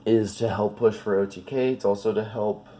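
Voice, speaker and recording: Slightly congested man, lowish voice, casual. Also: fairly even intonation, moderate pace, warm tone. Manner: informal, rambling, self-correcting. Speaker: trading card video creator. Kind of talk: casual unscripted card deck walkthrough.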